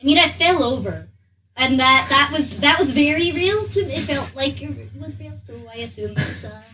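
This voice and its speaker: A woman role-playing casually in a tabletop game, halting and repeating her words as she goes. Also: high-pitched, animated, crowd noise. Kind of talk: casual in-character role-play. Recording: distant mic, faint room noise.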